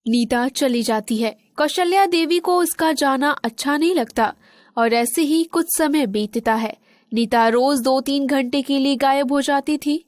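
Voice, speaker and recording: A woman narrator tells a scripted children's story. Her voice sits high, and the recording is dry with no room sound. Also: measured pace, darkish tone, dry recording.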